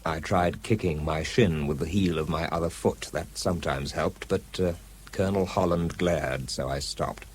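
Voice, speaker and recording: A very low-voiced man, a weary, sardonic scientist narrating a radio drama. His delivery is dry and understated, over clear background noise. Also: moderate pace. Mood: dry, reflective. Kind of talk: first-person radio drama narration and dialogue.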